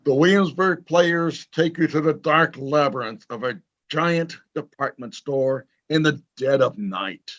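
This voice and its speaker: A man delivers a theatrical radio drama introduction in a slightly high, slow voice. His tone is ominous and the sound is dull and lo-fi. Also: a bit bright. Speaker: announcer. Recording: dull, lo-fi video-call audio.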